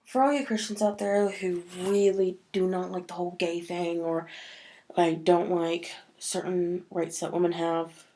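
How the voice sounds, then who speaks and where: A woman's voice: on the low side, fairly even intonation, measured pace, warm tone. A woman talking casually to camera in a vlog, sniffly from a cold and rambling with many fillers. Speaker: vlogger.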